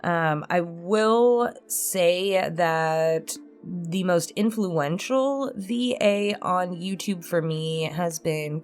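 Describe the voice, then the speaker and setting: A woman with a fairly low voice hosts a casual chat for her audience. She talks informally and feels her way through her phrasing, with music faintly behind her. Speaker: host, roleplay ASMR voice actor. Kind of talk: casual chat to viewers in a pre-recorded Q&A.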